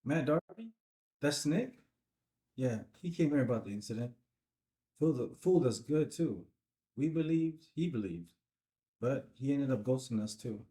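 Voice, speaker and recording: An actor playing a laid-back, wary small-town cop in a table read, speaking in clipped colloquial phrases. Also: male voice, mid-range voice, expressive, normal speed, pauses often, dark tone, natural voice. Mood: wry, resentful. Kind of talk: filmed screenplay table read.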